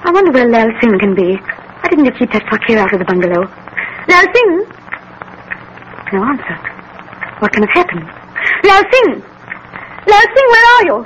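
Scared colonial wife, high voice, highly strung, old scratchy 30s radio drama. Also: female voice, sing-song, moderate pace, pauses often, highs cut off. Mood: panicked. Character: anxious, frightened young English wife in colonial India. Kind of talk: 1930s radio acting. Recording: noisy scratchy 1930s radio recording.

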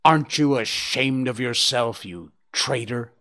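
A man's voice: medium pitch, lively intonation, slow pace, sharp tone, full character voice. A man voicing a wounded, dying male fox in a storybook, imploring and bitterly reproachful. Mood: bitter contempt. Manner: imploring, lamenting, later snarling and hissing.

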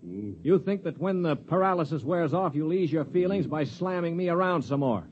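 A man's voice: fairly high pitch, lively intonation, moderate pace, a little mellow, slight background noise, lightly acted. A man playing a cynical, sardonic spacer. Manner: sardonic, dry, commanding. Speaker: narrator and lead. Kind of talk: 1950s radio drama acting. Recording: dull old radio broadcast.

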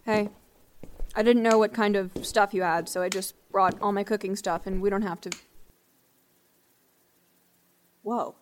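A woman's voice: mid-range voice, fairly even intonation, moderate pace, a little hiss or hum, close to an everyday voice. A woman playing a brash but concerned young woman in an audio drama, with a casual, blurting manner. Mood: casual, surprised.

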